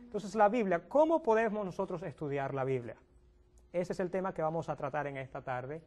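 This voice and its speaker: A man's voice: on the high side, animated, darkish tone. Man hosting a youth show. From Dominican Republic. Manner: halting, restarts words, addresses viewers at home. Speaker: youth pastor and host. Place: TV studio.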